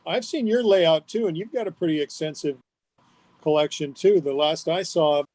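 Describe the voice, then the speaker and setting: A man's voice: fairly high pitch, moderate pace, darkish tone, no room sound. A man, a radio personality, chatting as an interview guest in an enthusiastic, emphatic way. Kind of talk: casual conversational reminiscence.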